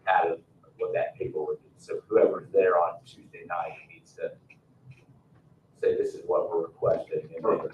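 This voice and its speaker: A man's voice: sits low, little pitch movement, moderate pace, darkish tone. A man explaining procedure as an official at a public meeting, informally and with worked examples. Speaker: town official advising a commission. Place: meeting room, picked up from a distance. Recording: roomy, distant, muffled meeting recording with hiss.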